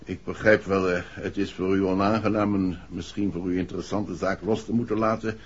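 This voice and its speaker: An actor playing a grave, resolute bereaved father, a formal and courteous gentleman, in a 1960s Dutch radio play. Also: low-pitched, level tone, brisk, breathy edge. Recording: dull, muffled old radio recording.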